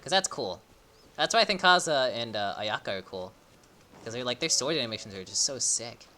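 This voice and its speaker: Bright-voiced young man streaming a game. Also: medium pitch, animated, a little hiss or hum. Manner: halting, slangy. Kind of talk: casual live play-along commentary.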